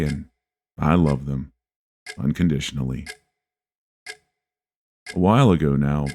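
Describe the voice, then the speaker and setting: Male horror story narrator, rumbling bass pitch, soft dark timbre, deliberate pacing with pauses, scripted first-person storytelling.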